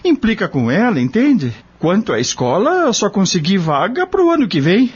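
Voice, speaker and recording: Homem fazendo pai roceiro brasileiro, humilde, voz aguda. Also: male voice, high-pitched, sing-song, moderate pace, slightly rough, heavily acted. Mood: plaintive, confiding. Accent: Brazilian. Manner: plaintive, simple colloquial speech. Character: humble, worried rural father, a dismissed farmhand. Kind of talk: audio drama acting. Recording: dull lo-fi audio.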